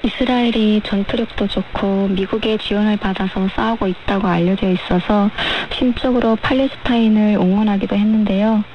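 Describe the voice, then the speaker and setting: A woman's voice: mid-range voice, steady tone, normal speed, hardly any pauses. Woman on dull, noisy shortwave radio. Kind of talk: measured radio discussion.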